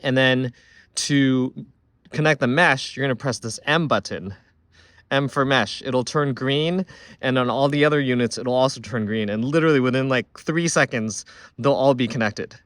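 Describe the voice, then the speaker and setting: Male product reviewer, mid-pitched, conversational and unscripted, talking through his thoughts as he demonstrates. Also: moderate pace, fairly bright tone, no echo.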